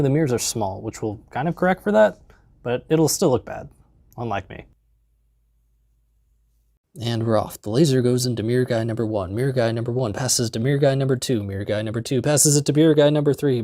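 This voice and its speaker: A young man in his twenties with a lapel mic in a basement, narrating a comedic DIY tutorial in a deadpan, jokey way with mumbled asides. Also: medium pitch, no echo, clean studio sound. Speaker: DIY maker vlogger. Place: basement workshop, indoors.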